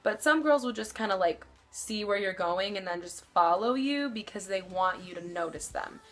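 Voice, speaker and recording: A young woman rambles through casual advice straight to camera, her voice somewhat deep for her age. She fills every gap with easy filler words, never fully settling on a point. Faint music plays softly underneath. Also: lively intonation, normal speed. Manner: chatty, rambling, many fillers. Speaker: vlogger.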